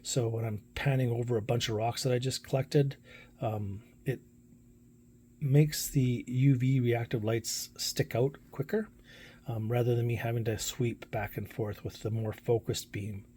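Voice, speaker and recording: A man's voice: mid-range voice, fairly even intonation, measured pace, warm tone, slight background noise. A man giving a casual, unscripted product review in a plainspoken, hesitant way, thinking aloud as he goes.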